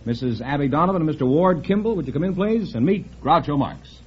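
An American man works as a radio quiz announcer, delivering scores and introductions at a fast clip. His pitch moves up and down freely. The old broadcast sounds dull. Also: animated. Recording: muffled old radio broadcast, faint noise.

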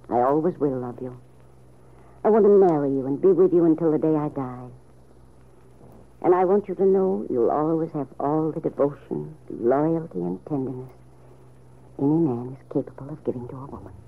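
Through a tinny vintage radio recording comes a kindly older aunt with a husky, creaky low voice. She speaks in a measured, tender and wistful way, in soap opera drama style. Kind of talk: old-time radio soap opera acting.

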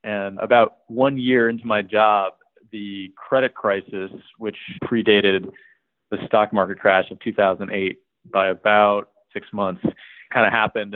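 A man being interviewed as a company's CEO, answering at a measured pace with lots of "sort of" fillers. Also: fairly low pitch, no echo. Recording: tinny phone-like line.

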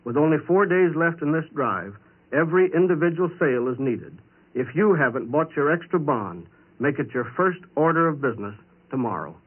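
A man's voice: on the high side, expressive, normal speed, breathy edge. A man with an American accent giving a scripted patriotic wartime radio address as an official, on a tinny old recording. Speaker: government official.

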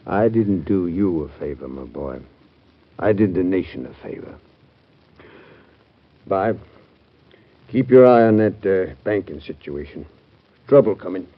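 An American man playing a blunt, folksy, fatherly former president in an old-time radio drama, with a gravelly voice. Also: sits low, lively intonation, a little slow, long pauses. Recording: tinny old radio show recording.

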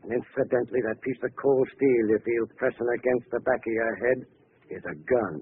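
An actor playing a cocky, vengeful gangster with an American accent and slangy, taunting tough talk in a 1940s radio drama. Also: male voice, mid-range voice, steady tone, fairly quick, natural voice. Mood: menacing. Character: cocky, vengeful male gangster back from supposed execution. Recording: noisy, tinny old radio broadcast recording.

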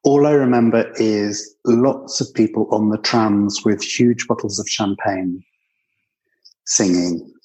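A man's voice: on the low side, fairly even intonation, takes it slowly, darkish tone, poor audio. An older man with a British accent recalling his past as an interview guest, in a conversational, anecdotal way. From Britain. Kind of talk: conversational personal reminiscence.

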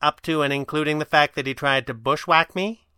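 A man's voice: medium pitch, animated, moderate pace, pushed and bright, clean tone, no room sound, clean studio sound, voice pushed up. A man voicing a brash, teasing young woman crimefighter in an audio drama, with a sardonic, slangy manner. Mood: sardonic, blunt. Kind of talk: audio drama character voice in narrated reading.

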